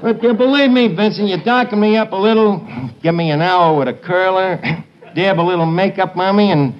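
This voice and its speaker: An actor playing a cocky, uneducated tavern manager in a 1950s radio comedy, with a New York accent and pompous, long-winded mangling of big words. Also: male voice, high voice, fairly quick, highs cut off, lightly acted. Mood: chatty, smug. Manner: long-winded, pompous, mock-genteel malapropisms. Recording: noisy old radio broadcast, loud studio audience.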